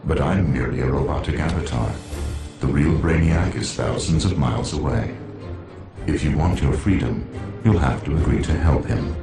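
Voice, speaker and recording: An actor playing a calm robotic villain avatar in a video game, measured and coolly taunting, with a deep gravelly voice. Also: male voice, echoey room, music in the background. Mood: cold, taunting.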